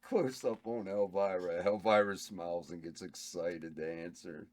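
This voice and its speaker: A man reading stage directions aloud at a table read, slow and a little halting. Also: low-pitched. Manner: slow, flat, stumbles over words. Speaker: script reader of stage directions.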